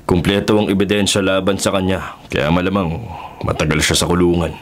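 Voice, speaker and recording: An actor playing a righteous, resolute husband who speaks firmly and declaratively in a radio drama. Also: male voice, low voice, flat delivery, fairly quick, slight background noise, lightly acted. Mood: calm, firm. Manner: firm, declarative. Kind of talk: Tagalog radio drama acting. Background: dramatic background music.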